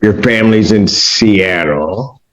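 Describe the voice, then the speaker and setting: A man's voice: lowish voice, slow, a bit bright. A man presiding as judge in a courtroom hearing, bantering and teasing. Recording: dull courtroom video audio with faint hiss.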